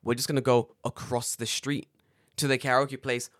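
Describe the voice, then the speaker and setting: A man co-hosting a casual podcast, his voice a little raspy, telling stories with lively acted-out quotes and plenty of swearing. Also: medium pitch, normal speed, no room sound, clean studio sound. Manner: animated storyteller acting out quoted dialogue, swears freely. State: slightly raspy voice after karaoke. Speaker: podcast co-host. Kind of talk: casual chat.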